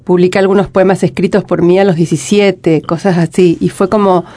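A woman in her forties with an Argentine accent, a writer answering interview questions in a reflective way, often pausing and restarting. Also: on the low side, steady tone, mellow. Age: 40s. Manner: reflective, talks in halting restarts. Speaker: guest, poet and writer. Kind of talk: casual interview conversation.

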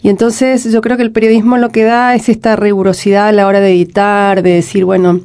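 A woman in her forties speaks with an Argentine accent. Her voice has a gravelly fry running through it. She answers reflectively and often breaks off to restart her sentences. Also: medium pitch, little pitch movement, darkish tone. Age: 40s. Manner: reflective, talks in halting restarts. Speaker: guest, poet and writer. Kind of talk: casual interview conversation.

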